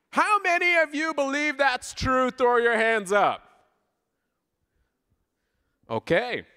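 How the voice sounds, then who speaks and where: A high-voiced Canadian man preaches informally with a bright, forceful delivery. He is playful and conversational, often putting questions to the congregation. His voice rings out through a hall with long reverb. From Southern Ontario, Canada. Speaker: guest preacher. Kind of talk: informal sermon with audience interaction. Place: large church hall, speaking to a congregation.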